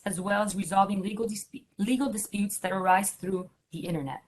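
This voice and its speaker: A woman's voice: medium pitch, rough recording. Woman formally introducing a panel. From Miami, United States. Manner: formal, with fillers and restarts. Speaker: moderator, law firm partner.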